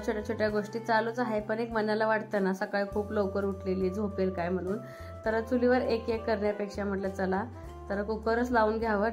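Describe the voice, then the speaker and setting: A woman with a rural Maharashtrian Marathi accent, chatting in a homely, colloquial way as she narrates a family vlog. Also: mid-range voice. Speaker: family vlogger. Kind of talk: casual home vlog, chatty cooking narration.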